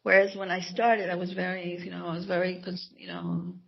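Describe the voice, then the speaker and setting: Middle-aged Hebrew-native woman author, reflective and halting, raspy voice. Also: fairly low pitch, little pitch movement, dull audio. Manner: hesitant, reflective, many restarts and soft laughs. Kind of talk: interview answers.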